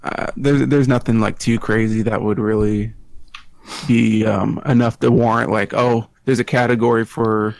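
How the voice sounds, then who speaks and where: A man's voice: fairly low pitch, flat delivery, moderate pace, gravelly, a little mellow, no echo. Hesitant man on a dry mic. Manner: hesitant, searching for words. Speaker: podcast guest. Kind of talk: casual podcast chat.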